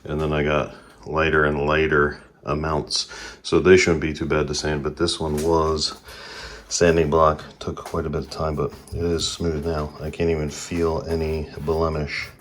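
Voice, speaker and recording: Man, 40s, DIY vlogger: deep, even-toned, relaxed how-to talk, phone mic with scraping and sanding noise. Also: unhurried. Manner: relaxed, plain step-by-step explaining. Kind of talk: casual how-to narration while working. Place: small indoor room at home. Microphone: handheld phone camera.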